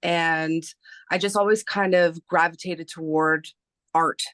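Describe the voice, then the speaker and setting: A woman in her thirties from Canada, a native Spanish speaker, talking slowly and hesitantly with many fillers as an interview guest. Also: deep voice, steady tone, fairly bright tone, dry recording, clean studio sound. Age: 30s. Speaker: guest, artist and publisher. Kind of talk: casual interview talk.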